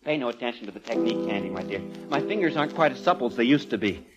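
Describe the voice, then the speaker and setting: Actor as eccentric male composer, ageing, florid, effusive, very animated pitch, gleefully morbid, radio play, dull vintage recording. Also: rapid-fire, loud music behind the voice, close to an everyday voice. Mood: elated, morbid. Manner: flamboyant, effusive, chuckling, florid. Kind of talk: 1940s radio drama acting.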